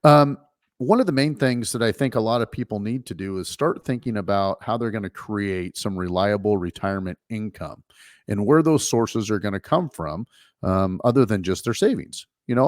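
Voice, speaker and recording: Low-voiced man explaining finances. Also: a little fast, dry recording, studio quality. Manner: explanatory, many fillers and restarts. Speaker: financial advisor and show host. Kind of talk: casual radio-show talk.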